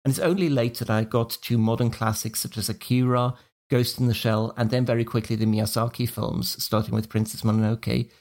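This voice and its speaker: A man from Switzerland hosting a film podcast, leading the talk in a measured, organized way. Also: mid-range voice, fairly even intonation, darkish tone. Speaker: podcast host. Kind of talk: casual podcast chat.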